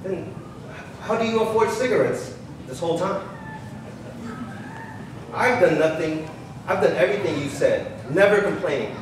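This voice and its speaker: A man's voice: on the high side, expressive, normal speed, long pauses, lightly acted. A man on stage playing a wounded, resentful grown son in a staged reading, speaking in an accusing, aggrieved way. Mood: angry, accusing. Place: large echoey public hall with glass balcony. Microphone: handheld microphone, heard through room speakers. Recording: echoey hall, distant, faint noise.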